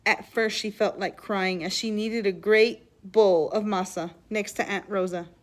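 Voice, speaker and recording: A woman reading a children's storybook aloud expressively, pausing to explain and put questions to her listeners. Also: medium pitch, fairly even intonation.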